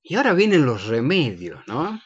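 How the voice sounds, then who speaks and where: An Argentine man hosts a radio show with a very animated, swooping delivery. He chats and teases playfully in a close, dry space. The sound is somewhat dull and lo-fi. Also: mid-range voice, normal speed, husky, dry recording. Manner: playful, teasing. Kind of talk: conversational radio presenting with greetings and banter.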